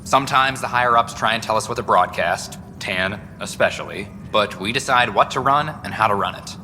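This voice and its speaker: An earnest, overworked young male reporter in a sci-fi video game. His voice is bright and mid-pitched, chatty and self-deprecating. It rings in a large hall with faint noise behind it. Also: fairly quick. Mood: wry, earnest. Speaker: news reporter. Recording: reverberant hall with background noise.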